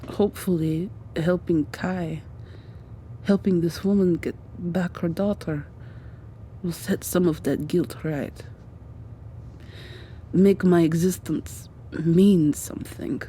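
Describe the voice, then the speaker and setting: A woman voicing a devoted, guilt-ridden rescuer in an ASMR roleplay drama, hushed, halting and earnest. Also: fairly low pitch, fairly even intonation, slight background noise, low-quality recording. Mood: remorseful, tender.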